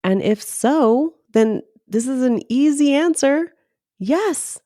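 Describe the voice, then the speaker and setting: A woman's voice: high-pitched, lively intonation, takes it slowly, mellow, no room sound, studio quality. A woman co-hosting a podcast, giving advice in a conversational, explanatory way that leans on "like" and "right?". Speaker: podcast co-host.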